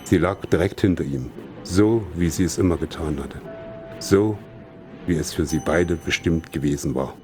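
A man's voice: sits low, moderate pace, darkish tone. A man reading a literary short story aloud as scripted narration, in German. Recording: music behind. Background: music.

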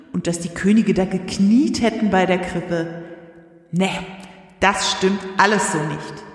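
The voice rings with long reverb in an echoey hall. It is God as a down-to-earth, indignant woman, acted by a woman in an audio drama, grumbling colloquially with a sardonic edge at a middling pitch. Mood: indignant, matter-of-fact. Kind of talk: audio drama acting, conversational monologue.

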